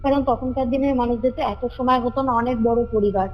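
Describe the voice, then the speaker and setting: A woman's voice: a little high, steady tone, fast talker, muffled recording. A woman tells a story in a casual, conversational way. She often repeats her phrases as she goes. Her voice rings with long reverb, and music plays behind her. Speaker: caller telling a ghost story. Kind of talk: casual spoken storytelling of a family ghost story. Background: background music.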